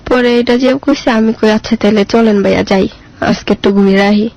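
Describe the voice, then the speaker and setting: A woman's voice: mid-range voice, steady tone, normal speed, a little mellow, dry recording, dull audio. A girl in her mid-teens with a colloquial Bangladeshi accent, telling her own story simply in an interview with short, plain answers.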